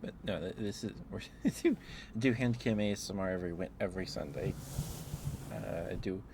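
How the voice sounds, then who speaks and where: A man hosting a casual ASMR livestream, chatting to viewers in a gentle, apologetic, rambling way. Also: deep voice, normal speed. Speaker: livestream host. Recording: clearly noisy background.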